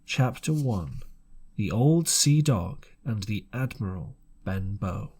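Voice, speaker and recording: A man narrating an audiobook in measured, deliberate phrases with pauses. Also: lowish voice, lively intonation, a little mellow, breathy edge.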